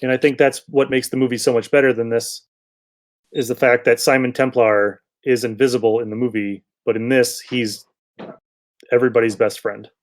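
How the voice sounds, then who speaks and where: A man's voice: mid-range voice, steady tone, moderate pace. A man hosting a podcast in casual chat, rambling a little as he thinks aloud. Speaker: podcast host.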